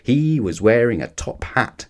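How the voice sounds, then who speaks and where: A man narrates a picture book for young children. His delivery is that of an expressive read-aloud storyteller, with a middling pitch. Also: measured pace.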